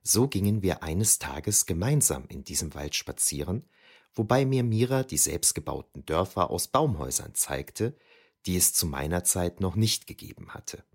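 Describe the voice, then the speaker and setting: Guy acting as reflective middle-aged academic narrating a radio play, low raspy voice, very lively melody. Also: normal speed, exaggerated voice. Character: reflective middle-aged historian father reading his notebook memoir. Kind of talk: scripted audio drama narration.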